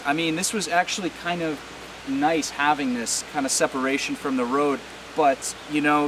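A man in his thirties talking informally and plainly, explaining things like a farmer hosting a casual vlog. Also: a little high. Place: outdoors on a farm. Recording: clear background noise, hiss.

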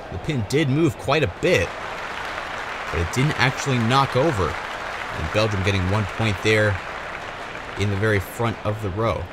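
A male commentator calls a race play by play in a medium-pitched voice. A loud crowd and heavy background noise run behind him throughout. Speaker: sports commentator for marble races. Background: loud crowd and ambient noise.

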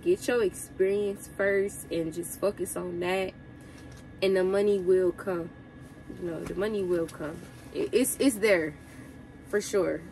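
A young woman truck driver giving casual advice to her viewers, sounding congested from a cold, chatty and relaxed. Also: medium pitch, a little hiss or hum, low-quality recording.

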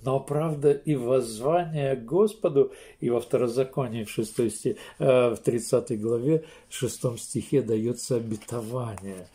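An older man, a pastor, preaching a sermon slowly and emphatically from a small room, with pauses and restarts. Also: mid-range voice, dark tone. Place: small room indoors, seated in armchair.

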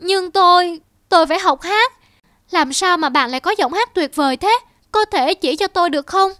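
Very high-pitched naive cartoon donkey. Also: female voice, normal speed, pushed and bright, no room sound, clean studio sound. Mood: insistent. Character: lonely, naive donkey longing to be liked. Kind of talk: cartoon fable voice acting. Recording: music under the voice.

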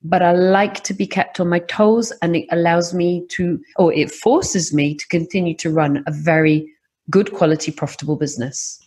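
Brief: middle-aged woman, English accent, husky and lowish, lively and animated podcast guest. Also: normal speed. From Scotland. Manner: lively, animated storytelling with laughs. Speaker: property investor guest. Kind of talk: podcast interview.

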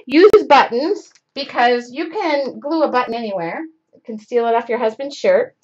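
A woman in her forties hosting a live craft demo from her home studio, rambling and self-interrupting as she works. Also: high-pitched. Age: 40s. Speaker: craft show host. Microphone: webcam in front of her. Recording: dull lo-fi webcam stream audio.

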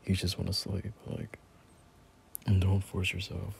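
A man playing a gentle, caring boyfriend at bedtime in an intimate ASMR roleplay, speaking softly in a breathy half-whisper with hesitant pauses. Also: low voice, monotone, a little hiss or hum, exaggerated voice. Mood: soothing, concerned. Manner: soft, slow, hesitant, reassuring.

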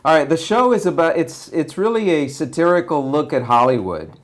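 A man in his forties from Argentina whose first language is Spanish, a director being interviewed, talking in a relaxed, measured way with frequent hesitations. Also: a little high. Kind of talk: casual interview talk. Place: small room indoors beside a window.

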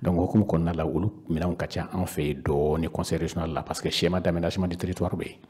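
A man in his fifties with a very low, husky voice and a Malian accent. He explains things to a panel, pausing and repeating words as he searches for the next phrase. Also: fairly even intonation, darkish tone. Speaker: regional council official, panel guest. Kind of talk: panel discussion, explaining in Bambara mixed with French. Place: indoor panel room. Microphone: handheld microphone with foam cover.

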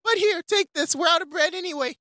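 A man's voice: very high voice, fast talker, heavy background noise, pitched above the performer's own voice. A man voicing a sassy, mocking cartoon mother, taunting and incredulous.

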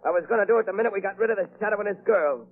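Actor as fast, panicky crime boss. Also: male voice, high voice, close to an everyday voice. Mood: defiant, vicious. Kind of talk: 1930s radio melodrama acting. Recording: tinny phone-like 1930s radio recording.

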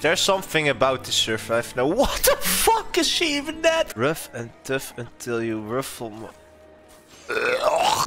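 Guy streaming a game, kinda high bright voice, swears a lot, quick amused reactions. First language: Dutch. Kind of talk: casual gameplay commentary.

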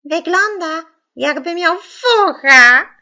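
A woman voicing a playful, dramatic little girl in a children's story, exclaiming in drawn-out phrases. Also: extremely high pitch, animated, bright, forceful tone, pitched above the performer's own voice, exaggerated voice. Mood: teasing.

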